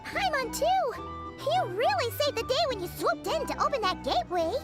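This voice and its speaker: A woman voicing a tiny, excitable and cheeky fairy companion with a childlike manner in a video game. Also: very high voice, normal speed. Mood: cheerful, supportive. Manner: refers to self in third person, gushing exclamations. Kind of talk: video game character acting. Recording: game dialogue over loud tinkling music. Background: loud playful game music.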